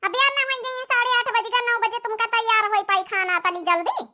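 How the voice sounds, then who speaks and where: Casting: cartoon young daughter-in-law played by a woman, Awadhi accent, extremely high and piercing, sulky, vain, quick to argue. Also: fairly even intonation, pushed and bright, slight background noise. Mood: indignant, defiant. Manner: argumentative, whining, self-admiring. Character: sulky young village daughter-in-law obsessed with making reels. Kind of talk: comedy cartoon dialogue.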